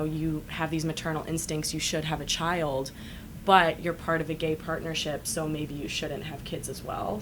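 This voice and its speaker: A woman in a thoughtful podcast discussion, halting as she qualifies her points, recorded over rumbling noise. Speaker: podcast panelist.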